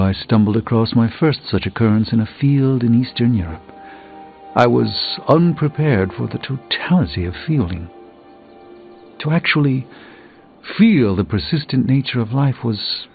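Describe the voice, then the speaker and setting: Middle-aged sorcerer, video game, self-assured, pompous, precise diction, lively intonation, narrow tinny band. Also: male voice, on the low side.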